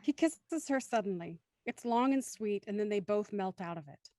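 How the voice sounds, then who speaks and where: A woman's voice: medium pitch, lively intonation, normal speed, a little mellow, no room sound, studio quality. A woman reading scripted, present-tense narration for an audio drama as its narrator.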